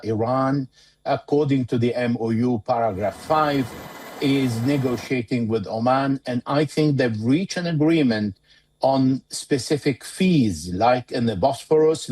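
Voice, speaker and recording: A man giving interview commentary as a war analyst, speaking in a measured, deliberate way with pauses mid-phrase. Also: mid-range voice, a little mellow.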